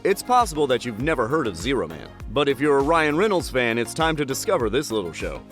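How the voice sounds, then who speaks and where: A male narrator reads a scripted entertainment list. His medium-pitched voice moves up and down a lot. Soft music plays underneath. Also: big pitch swings, fast. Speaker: video narrator.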